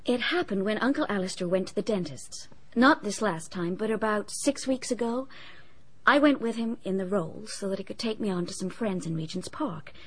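An actress playing a forthright young society niece in a radio drama, polite but candid and vividly descriptive. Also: female voice, mid-range voice, expressive, moderate pace, slightly rough, poor audio. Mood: earnest.

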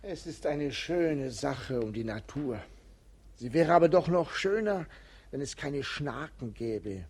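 Ironic, idle servant-joker, slightly husky, swinging delivery, 1950s radio-play style. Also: male voice, medium pitch, a little mellow. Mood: grumbling, ironic. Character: idle, witty male servant-companion, mocking joker.

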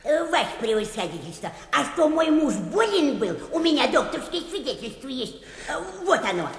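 High, whining petitioner woman. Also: sing-song, moderate pace. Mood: stubborn complaining. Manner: whining, obsequious, old-fashioned folksy. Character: pestering, plaintive older petitioner woman, humble yet stubborn. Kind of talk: classic comic radio play acting. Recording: echoey hall reverb.

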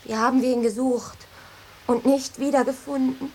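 Defiant former ship stewardess. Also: female voice, high-pitched, fairly even intonation, moderate pace, darkish tone, a little hiss or hum. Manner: defiant, plain-spoken. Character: defiant former ship stewardess, proud, hurt lighthouse companion. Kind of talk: German radio drama acting.

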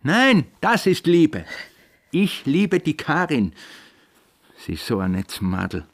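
A man's voice: a little high, very animated, takes it slowly, exaggerated voice. An actor playing a stubborn, evasive middle-aged married father with an Austrian accent in a radio drama. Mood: stubborn, earnest.

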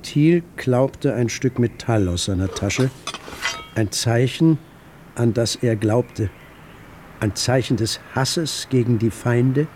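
An actor playing a literary chronicler, narrating a radio drama with measured, articulate and deliberate phrasing. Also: male voice, mid-range voice, darkish tone, slight background noise, lightly acted. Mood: grave, measured.

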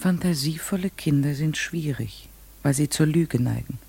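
An actress playing a young village schoolteacher in an old radio play, questioning a pupil calmly and narrating in first person. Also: female voice, low-pitched, normal speed, gravelly, husky, exaggerated voice. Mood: reflective. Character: young unmarried female village teacher, strict but kind.

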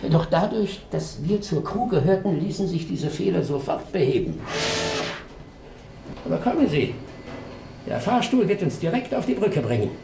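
A man's voice: high voice, big pitch swings, moderate pace, gravelly, pauses often, echoey room, very noisy, exaggerated voice. An actor in a German radio drama playing a talkative, wistful old male robot, a courteous, rambling ship's officer. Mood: explaining, courteous.

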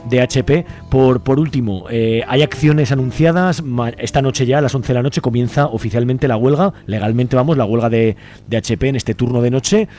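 A man's voice: mid-range voice, mellow, slight background noise. A man with an accent from Spain hosting an informal radio interview, conversational and often checking for agreement. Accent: Spanish from Spain. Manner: frequently seeks agreement with tag questions.

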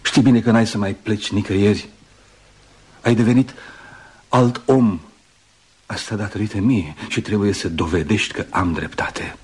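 An actor in a radio play voicing a brisk, bossy friend, chatty, ironic and insistent, on a noisy old recording. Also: male voice, on the low side, steady tone, a little mellow, breathy edge. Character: brisk, teasing, bossy male friend of the doctor. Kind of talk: Romanian radio theatre acting.

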